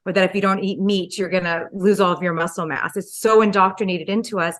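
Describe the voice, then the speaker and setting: A middle-aged woman from the United States, a dietitian guest telling her story in an animated, casual way, full of "like" and "you know". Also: medium pitch, quick pace. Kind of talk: casual interview chat.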